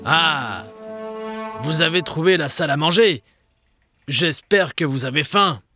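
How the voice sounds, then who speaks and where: An actor playing a spooky but welcoming ghost ship captain in a children's cartoon, speaking with theatrical hospitality. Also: male voice, fairly high pitch, a little slow, music in the background, natural voice. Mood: hospitable.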